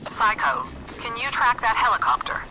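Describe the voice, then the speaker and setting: An actor playing a stern, authoritative military commander giving brisk, official mission briefings in a video game. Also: male voice, very high-pitched, very animated, exaggerated voice, rough recording. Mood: brisk, serious. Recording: loud background music.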